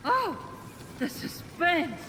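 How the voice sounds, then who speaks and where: An actor playing a sarcastic, cooing tormentor with a theatrical, belittling manner, in an animated video game. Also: male voice, very high voice, extremely slow, background noise, full character voice. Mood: mock-sweet. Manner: sarcastic, theatrical, mock-sweet.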